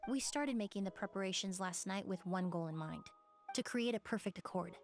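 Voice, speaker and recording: Brief: actress as a cool-headed game investigator, mid-pitched and steady, music under her. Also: natural voice. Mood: calm. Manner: composed, measured, explanatory. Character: calm, analytical young woman perfumer. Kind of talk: game cutscene voice acting.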